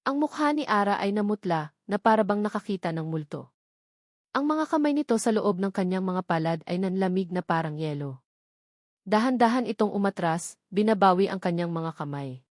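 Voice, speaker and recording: A woman's voice: medium pitch, expressive, moderate pace, no echo. Dry-sounding woman narrating melodrama. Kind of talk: dramatic scripted story narration.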